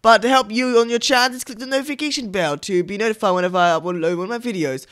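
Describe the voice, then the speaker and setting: A young man giving excitable gameplay commentary as the host, exclaiming and often repeating his words. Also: high-pitched, quick pace, smooth. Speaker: gaming video host. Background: game music and sounds.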